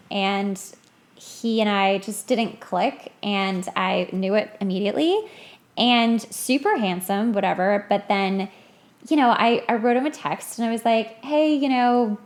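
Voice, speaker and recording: A woman hosting a podcast in casual conversation, playful and informal with slangy phrasing. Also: medium pitch. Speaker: podcast host. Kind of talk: casual chat.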